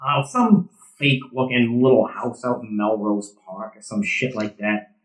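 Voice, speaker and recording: An actor playing a hot-headed, combative middle-aged softball player who drinks beer, profane and sarcastic, in an audio drama. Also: male voice, lowish voice, a little slow, natural voice. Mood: grumbling, conversational. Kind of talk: naturalistic audio drama acting. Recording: roomy, echoing sound.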